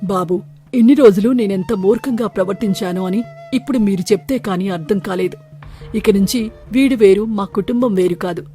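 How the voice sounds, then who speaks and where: A woman's voice: mid-range voice, normal speed, warm tone, faint music behind, lightly acted. A woman voicing a harsh, proud village landlord and father in a storybook tale, emphatic and commanding. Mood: remorseful, proclaiming. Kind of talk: storybook character acting.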